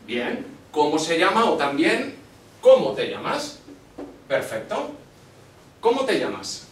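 Spanish guy in his 30s teaching a class, slightly high voice, recorded from far off in a roomy classroom. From Spain. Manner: slow and deliberate, lively questioning pitch. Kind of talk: slow, clear classroom instruction. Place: small classroom, indoors. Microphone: far camera.

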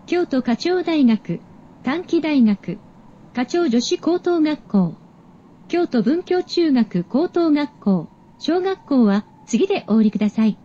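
A woman reads scripted transit announcements in a high, very soft-edged voice. Her diction is formal, polite and careful. The sound is slightly muffled. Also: expressive, slow. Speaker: automated train announcer. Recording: dull recording with train noise. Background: subway train ambience.

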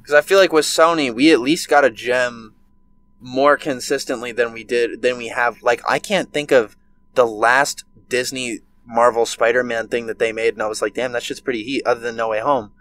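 A man's voice: mid-range voice, fairly even intonation, moderate pace, a bit bright, slight background noise, rough recording. A nineteen-year-old man ranting with heavy swearing in a casual podcast chat, recorded over a webcam video call from his bedroom. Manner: profane, ranting, heated. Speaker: podcast co-host.

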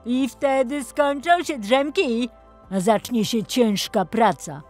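Woman as an excitable little talking truck, high-pitched. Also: slow, faint music behind. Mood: indignant, excited. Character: plucky, excitable young male talking vehicle. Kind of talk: children's audio story acting.

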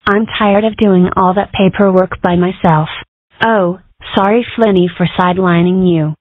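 A woman voicing a weary, dry-witted female rabbit in charge, deadpan and sardonic, in a cartoon comic dub. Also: fairly low pitch, normal speed, dry recording, narrow, tinny audio, natural voice. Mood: tired. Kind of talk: comic dub character acting.

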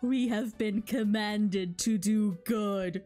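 A woman's voice: mid-range voice, slow pace, warm tone, soft background music, natural voice. A woman voicing a smug, lordly bug adventurer from a video game, with a pompous, condescending manner. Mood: solemn.

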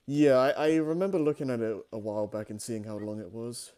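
A man's voice: medium pitch, fairly even intonation, a little fast, warm tone, clear, resonant tone. A man co-hosting a show in casual, unscripted chat, with a hesitant, rambling way of talking. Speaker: co-host of a fan fiction reading show.

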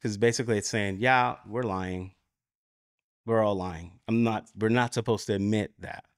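A man with an American accent giving an informal, explanatory conference talk, halting as he searches for words and often checking in with "right?". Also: on the low side, steady tone, smooth, dry recording, clean studio sound. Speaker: conference speaker. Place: conference room at a lectern.

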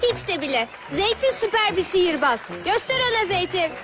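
A woman's voice: extremely high pitch, very animated, normal speed. A woman voicing a loyal, defiant young fan in a children's cartoon.

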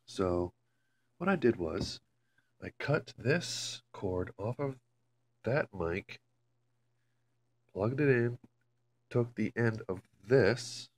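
A man's voice: lowish voice, expressive, drawn-out words, pauses often. A man chatting casually and rambling, with swearing, in a homemade vlog recorded on a phone in a carpeted room. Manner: foul-mouthed, rambling. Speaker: amateur video maker. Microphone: handheld phone camera. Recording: home phone recording with loud hum.

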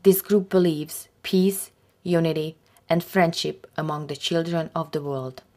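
A woman reading scripted documentary narration in a steady, formal way. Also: fairly low pitch.

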